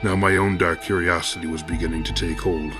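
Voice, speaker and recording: Deep-voiced male horror narrator. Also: fairly even intonation, music in the background. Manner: deep, measured, ornate storytelling. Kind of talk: horror audio drama narration.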